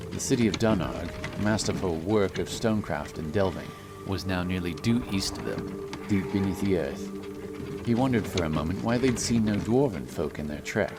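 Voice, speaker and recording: A man narrating a fantasy audiobook in a measured, solemn storytelling manner. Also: low voice. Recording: loud music behind the voice. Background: loud music.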